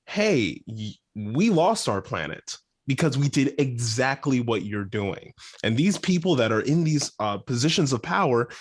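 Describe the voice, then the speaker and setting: A man with a mid-pitched voice chats casually as a podcast panelist. His intonation is very lively, swinging widely up and down. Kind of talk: casual pop-culture discussion.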